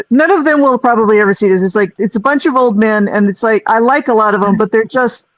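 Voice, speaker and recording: A woman with a low voice, a singer who also runs live sound, chatting loosely on a group call with lots of restarts. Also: brisk, no room sound, highs cut off. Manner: rambling, restarts, self-deprecating laughs. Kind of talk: casual group video-call chat.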